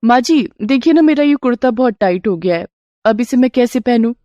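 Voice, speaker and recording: Casting: young daughter-in-law for a cartoon storybook, played by a woman, respectful, wide-eyed, very lively intonation, dry studio sound. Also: fairly high pitch, fairly quick, dry recording, highs cut off, lightly acted. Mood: polite, concerned. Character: polite, easily amazed young daughter-in-law in a Hindi tale.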